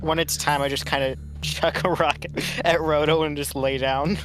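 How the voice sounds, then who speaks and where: A woman's voice: low voice, fairly even intonation, moderate pace, rough recording. A woman chatting casually as a player in a tabletop game, with music in the background. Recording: loud music behind the voice. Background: loud background music.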